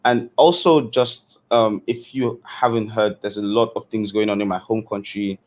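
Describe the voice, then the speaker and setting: A man's voice: lowish voice, fairly even intonation, normal speed. A man from Nigeria giving a casual keynote on a video call, loose and full of fillers. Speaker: keynote speaker. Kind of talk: online conference talk.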